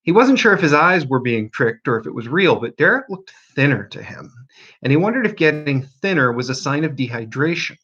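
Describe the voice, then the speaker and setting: Man, expressive audiobook narration, highly varied intonation, slightly high pitch, dramatic pauses, dull lo-fi sound. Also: breathy edge.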